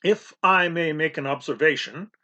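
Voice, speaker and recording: A man doing a calm, formal butler. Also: a little high. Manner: formal, courteous, measured. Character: unflappable polite male butler, composed. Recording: dull lo-fi recording.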